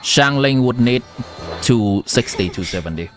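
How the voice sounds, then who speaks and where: Lively Vietnamese-accented male streamer. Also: mid-range voice, unhurried. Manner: chatty, answering chat while giving game advice. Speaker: game streamer. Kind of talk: casual live-stream chat. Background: game music.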